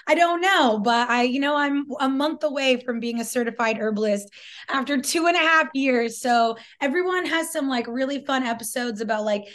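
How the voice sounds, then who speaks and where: Upbeat chatty woman, slightly high voice. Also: fairly bright tone. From Canada. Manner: upbeat, chatty, quick to laugh. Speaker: podcast co-host, herbalism student. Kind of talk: casual chat.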